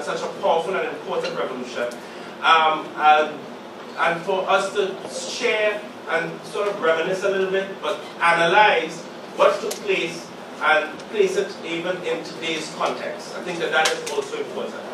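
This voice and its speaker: A man in his forties with a sore throat from a cold, giving measured opening remarks as moderator of a panel discussion to a live audience. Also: on the high side, noisy background. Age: 40s. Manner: measured, halting, with many pauses and fillers. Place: small meeting room with a live audience. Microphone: podium mic, filmed from the back of the room. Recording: echoey room recorded from far off.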